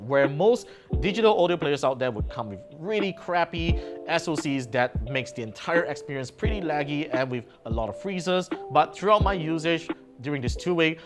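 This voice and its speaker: A man giving an upbeat, casual gadget review, pointing out features as he goes. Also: medium pitch. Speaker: tech reviewer. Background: background music.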